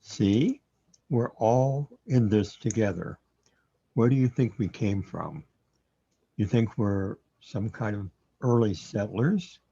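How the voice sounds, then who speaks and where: A man playing a calm, wry metaphysical guide in a radio play, unhurried and matter-of-fact, on a dull lo-fi recording. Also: lowish voice, gravelly, slightly rough, heavily acted.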